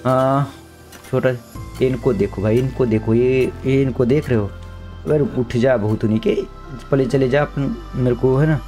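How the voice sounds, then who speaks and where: A young man with a sore throat giving chatty, slangy let's-play commentary, now and then shouting at the game characters. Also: mid-range voice. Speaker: gaming YouTuber.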